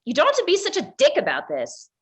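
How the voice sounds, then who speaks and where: A woman's voice: extremely high pitch, huge pitch swings, fast talker, heavily acted. A woman playing a sullen, sarcastic younger sister, snide and defiant, in a play reading. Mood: scornful. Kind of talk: dramatized play script reading.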